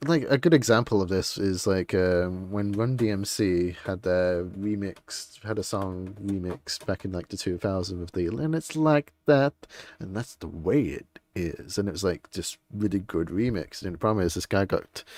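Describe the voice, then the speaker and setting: A man's voice: low voice, a little mellow, no echo. A man streaming a game and chatting casually, rambling through his opinions in an informal, grumbling way.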